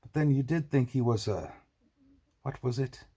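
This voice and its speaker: Guy doing a scornful rich lord in a novel, gravelly, pushy, mocking every word. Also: mid-range voice, moderate pace, voice pushed up. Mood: mocking, accusing. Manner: forceful, mocking, emphatic. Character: bitter, scornful, wealthy half-Trojan man.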